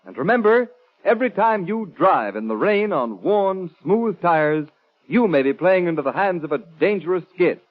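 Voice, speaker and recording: A thin, phone-like vintage broadcast carries a male announcer reading an advert. His pitch is high and lively, with dramatic, emphatic salesmanship in the 1930s manner. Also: moderate pace. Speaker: radio announcer. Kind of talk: 1930s radio advertising read. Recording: tinny, phone-like 1930s radio recording.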